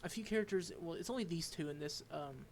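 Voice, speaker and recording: A man streaming a game, reading its dialogue aloud and commenting, halting and stumbling over unfamiliar names. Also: fairly high pitch, slight background noise.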